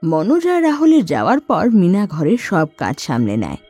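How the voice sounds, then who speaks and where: Woman telling a story, super animated pitch, dry close sound. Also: moderate pace, husky, dry recording, soft background music. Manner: lively storytelling delivery. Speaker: narrator. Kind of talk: scripted storytelling narration.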